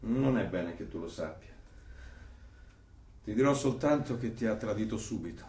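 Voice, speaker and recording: A roomy, distant recording with some hiss carries a male actor as a cold regime torturer. His voice sits fairly high and he orates with pompous, deliberate menace in a dystopian stage drama. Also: darkish tone, natural voice. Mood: cold, menacing. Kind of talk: theatrical dystopian monologue.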